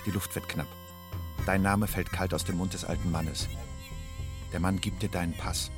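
An actor playing a haunted, watchful refugee man in a radio drama monologue, husky, speaking in measured, stark fragments. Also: sits low, steady tone, music in the background, lightly acted. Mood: tense, grave.

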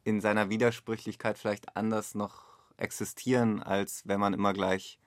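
Male director in an interview, kinda low voice, feeling his way through thoughts, dry sound. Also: level tone, moderate pace, no room sound. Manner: tentative, thinking aloud. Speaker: radio play director. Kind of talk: reflective interview talk.

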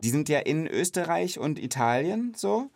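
A man's voice: fairly high pitch, big pitch swings, moderate pace, creaky, a little mellow, dry recording, clean studio sound. A man hosting a kids' knowledge podcast, explaining things in an informal, curious way and checking back with questions. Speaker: host of a children's knowledge podcast. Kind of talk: casual conversational explaining to a child.

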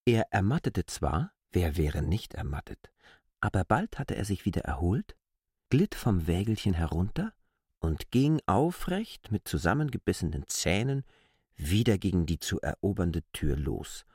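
A man's voice: deep voice, big pitch swings, measured pace, darkish tone, husky, no echo, clean studio sound. A man reading scripted literary narration for an audio drama.

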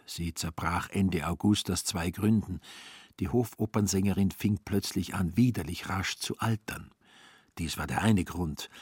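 A man's voice: low-pitched, slightly rough, no room sound, studio quality. A man reading scripted literary narration for a radio drama.

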